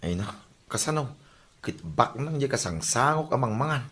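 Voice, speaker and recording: A man plays a shy and silly husband in an Ilocano radio comedy drama. His voice sits at a middle pitch and is bright and sharp, with an Ilocano Filipino accent. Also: lively intonation, moderate pace, lightly acted. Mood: shy, polite. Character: bashful husband Romy who gets drunk and silly.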